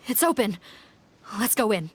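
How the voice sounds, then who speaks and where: A woman playing a brisk, dutiful female police captain in a video game, earnest and commanding. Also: a little high, very animated, a bit bright, a little hiss or hum, full character voice.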